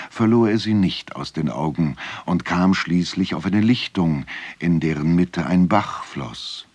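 A man narrating a village legend in a radio play as a measured storyteller with a husky voice. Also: sits low, no echo. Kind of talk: scripted literary tale narration.

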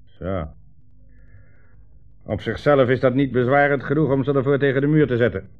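A man's voice: medium pitch, moderate pace, narrow, tinny audio, natural voice. A thin, band-limited vintage radio recording with faint music carries a menacing communist commissar played by a male actor. He is imperious and sneering, a bullying interrogator full of cold contempt. The delivery is that of 1960s radio drama.

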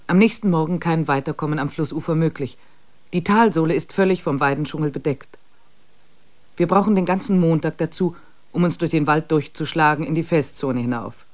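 A man narrating a wilderness expedition diary in a radio drama, measured and matter-of-fact. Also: on the high side, a little mellow.

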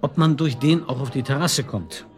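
A man's voice: medium pitch, normal speed, darkish tone, faint music behind, close to an everyday voice. Wry police chief, played by a man, dry and ironic. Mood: brisk, businesslike. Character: wry, sardonic male police detective chief. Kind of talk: naturalistic German radio drama acting.

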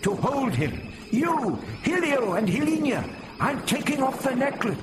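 A man's voice: very high-pitched, big pitch swings, measured pace, slight background noise, voice pushed up, heavily acted. A man voicing a valiant old alien rebel leader in a storybook reading, urgent and commanding. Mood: urgent, resolute.

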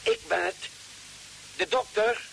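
An actor playing a careful, concerned ship's doctor in vintage radio drama style. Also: male voice, high voice, slow pace, vocal fry, a bit bright, exaggerated voice. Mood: dismayed. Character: cautious, concerned ship's doctor on Mars expedition. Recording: crackly old radio recording.

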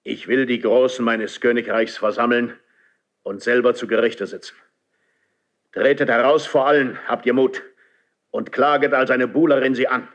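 A man's voice: medium pitch, fairly even intonation, moderate pace, highs cut off, natural voice. A man acting a proud, suspicious, lonely king in a 1970s German radio play, with commanding classical declamation. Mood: wrathful, scornful.

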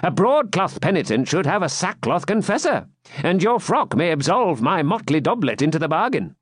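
A man's voice: a little high, very animated, normal speed, husky, dry recording, studio quality, voice pushed up. A man voicing a wry, cheeky jester in an audiobook, speaking in witty, mock-solemn archaic phrases. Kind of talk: audiobook character voice.

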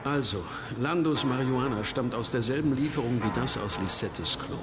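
Radio crime drama: male actor as matter-of-fact police colleague, echoing hall sound, music clearly behind. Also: medium pitch, normal speed, natural voice. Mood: businesslike. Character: businesslike male police colleague reporting forensic results. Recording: long reverb, music behind.

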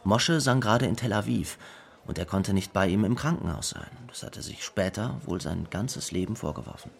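A man playing a grown brother in a radio drama, soberly recalling his family's past in measured storytelling. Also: on the low side, expressive, creaky. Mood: sombre, reflective.

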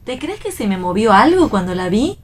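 A woman's voice: mid-range voice, big pitch swings, moderate pace. An Argentine actress playing a playful, teasing, defiant young wife and mother in a radio play reading.

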